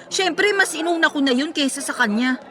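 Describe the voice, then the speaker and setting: Radio drama: exasperated young Filipino woman, chatty, dramatic, high bright voice, light music behind. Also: fairly quick. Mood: indignant. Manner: chatty, slangy, dramatic complaining. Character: feisty, exasperated young working woman venting about family. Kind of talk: Tagalog radio drama acting. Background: background music.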